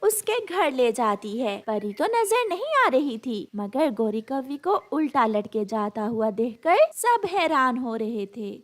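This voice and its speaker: A woman's voice: sits high, big pitch swings, takes it slowly, hardly any pauses. A woman narrating a scripted children's story.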